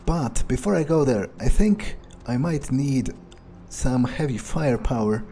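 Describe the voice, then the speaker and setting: A man doing in-character game commentary, thinking aloud in a deliberate, dryly musing manner. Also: mid-range voice, expressive, warm tone, soft background music. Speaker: let's player role-playing a vampire character.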